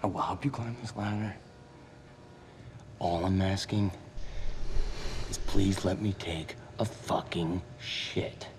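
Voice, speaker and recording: A desperate security guard in a game scene, pleading and wheedling in a low voice. The scene carries noticeable background noise, and the guard never stops begging. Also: male voice, slow, pauses often.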